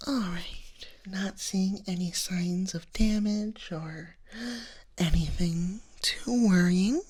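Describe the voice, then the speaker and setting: A woman playing a gentle, reassuring female dragon nurse in a soft, whispered ASMR medical roleplay. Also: fairly low pitch, slow pace. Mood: calm, reassuring. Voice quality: breathy whisper.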